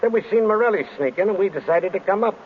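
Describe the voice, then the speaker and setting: An actor playing a gruff, suspicious homicide inspector who questions bluntly in plain street grammar, in 1930s radio drama style. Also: male voice, high-pitched, expressive, quick pace. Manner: blunt, interrogating, ungrammatical. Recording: tinny, noisy vintage radio recording.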